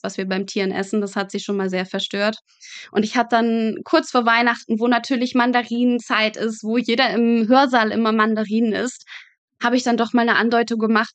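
A woman's voice: mid-range voice, dry recording. A young woman speaking as an interview guest, chatting and explaining things in a casual storytelling way. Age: young adult. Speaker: interview guest, medical student.

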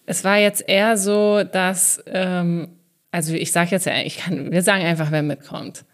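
A woman from southern Hesse hosting a podcast in casual chat, chatty and quick to laugh, with many fillers. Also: on the low side, level tone. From southern Hesse, Germany. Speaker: podcast host.

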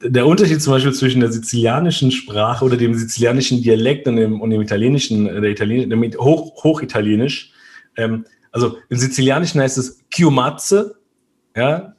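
A man's voice: mid-range voice, brisk, a little mellow. Man from Germany, medium voice; hesitant, chatty interview guest who leans on fillers. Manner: hesitant, frequent fillers and tag questions. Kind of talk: casual conversational interview.

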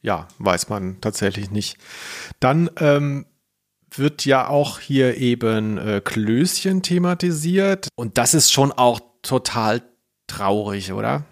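A man's voice: mid-range voice, lively intonation, slow pace. A man co-hosting a podcast in casual, jokey chat. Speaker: podcast host.

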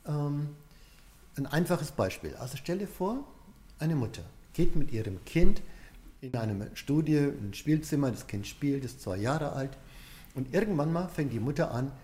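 A man's voice: a little high, warm tone. A man giving an explanatory coaching lecture in an informal, conversational way, addressing the listener directly.

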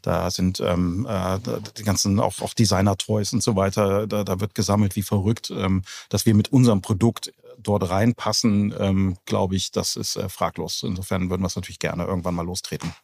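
Male founder being interviewed, halting and casual, with a husky, fry-laden voice. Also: deep voice, fairly even intonation, a little mellow. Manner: hesitant, searching for words. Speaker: company co-founder, podcast guest.

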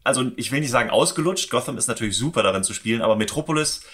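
Forceful, bright-voiced man in casual chat. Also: medium pitch, lively intonation, rapid-fire. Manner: colloquial, enthusiastic. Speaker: podcast co-host. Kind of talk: casual chat about games and comics.

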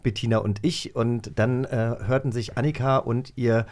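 A man's voice: medium pitch, steady tone, moderate pace, darkish tone. A middle-aged man chatting casually as he hosts an interview. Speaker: podcast host. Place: indoor room with others.

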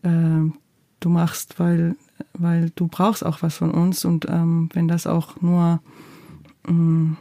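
Playwright in their thirties, hesitant, many fillers, mellow tone. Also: medium pitch, monotone, takes it slowly, creaky. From Georgia. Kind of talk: interview answers.

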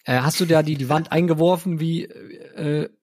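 A man hosts a casual podcast. His voice sits a little high for a man and has a husky, rough-edged grain as he chats. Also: steady tone, normal speed, a little mellow. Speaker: podcast host.

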